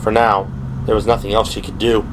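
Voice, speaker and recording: A man's voice: fairly low pitch, level tone, fairly quick. Male narrator over noisy ambience. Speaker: audio drama narrator. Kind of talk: scripted dramatic narration. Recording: clear background noise and ambience. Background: ambient sound effects.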